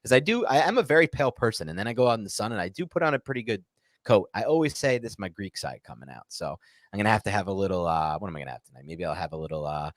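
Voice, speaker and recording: Fast-talking, low-voiced American man. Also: very animated, no room sound. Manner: rambling, opinionated, emphatic. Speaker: sports talk show co-host. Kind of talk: casual live Q&A chat about American football.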